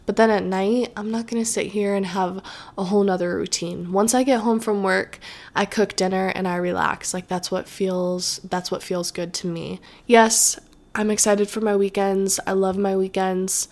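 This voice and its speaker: A woman's voice: medium pitch, steady tone, moderate pace, a little mellow. A young woman hosting a solo podcast in a chatty, rambling, reflective way, sounding a little nasal from allergies. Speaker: podcast host. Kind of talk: casual solo podcast chat.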